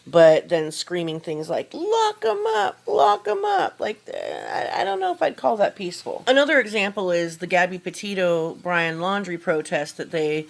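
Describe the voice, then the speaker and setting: A woman's voice: lowish voice, sing-song, moderate pace, creaky, few pauses. A woman giving casual, opinionated commentary in informal, slangy language as a video creator.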